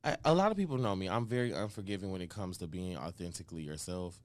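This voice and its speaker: A man's voice: low-pitched, animated, fast talker, a little mellow, no room sound. A man, a rapper, being interviewed in a radio studio, talking emphatically and confidently. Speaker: rapper and guest. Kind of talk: casual interview.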